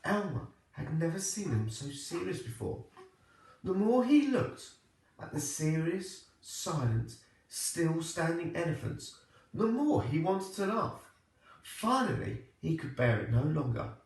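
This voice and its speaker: A man reading a children's storybook aloud like a primary teacher, with expressive, deliberate phrasing. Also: on the high side, vocal fry. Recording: echoey room, distant microphone.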